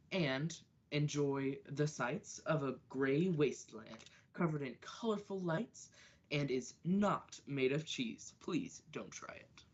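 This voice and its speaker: A young woman plays a deadpan announcer in a parody radio ad. The voice is extremely low and drags slowly, a bit halting. The recording is dull and lo-fi. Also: heavily acted. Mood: deadpan salesmanship. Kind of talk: mock radio commercial.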